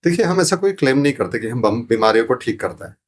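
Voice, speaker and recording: A man's voice: medium pitch, big pitch swings, fast. A man explaining spiritual ideas as a podcast guest, in a didactic way, repeating phrases and asking rhetorical questions. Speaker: podcast guest, sound therapy teacher. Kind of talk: explanatory spiritual talk in an interview.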